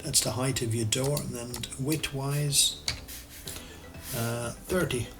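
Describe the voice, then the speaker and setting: A man with an Irish accent teaching architectural CAD over a screen recording, relaxed and thinking aloud as he works, often correcting himself. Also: mid-range voice, slow pace, over music. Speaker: architectural designer and CAD tutor. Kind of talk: screen-recorded software tutorial.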